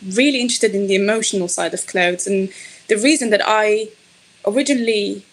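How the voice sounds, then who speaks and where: A middle-aged woman from Israel whose first language is Hebrew, her voice sitting in an ordinary middle pitch. She answers interview questions thoughtfully, pausing with fillers and restarting her sentences as she works out what she wants to say. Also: poor audio. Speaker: podcast guest, fashion designer. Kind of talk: conversational interview.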